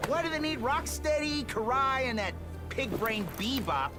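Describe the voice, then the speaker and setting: Sarcastic hot-headed turtle brawler, animated. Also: male voice, very high-pitched, normal speed, music in the background. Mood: sarcastic, grumbling. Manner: sarcastic, slangy street talk.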